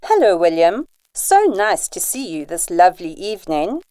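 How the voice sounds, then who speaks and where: A woman's voice: lowish voice, sing-song, normal speed, noisy background. A woman acting a poised, flirtatious informant in an amateur radio drama, polite and coy.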